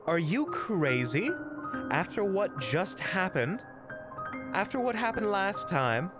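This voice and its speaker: An actor playing an anxious, excitable companion in an audio drama. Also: medium pitch, slow, music in the background. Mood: alarmed. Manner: excitable, stammering. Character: anxious, alarmed young companion. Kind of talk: audio roleplay drama acting.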